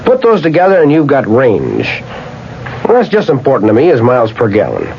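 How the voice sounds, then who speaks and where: An actor playing a plainspoken, proud car owner in a 1970s radio commercial, conversational and emphatic. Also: male voice, medium pitch, wildly swooping pitch, brisk, gravelly. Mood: skeptical, then satisfied. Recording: muffled old radio with faint hiss.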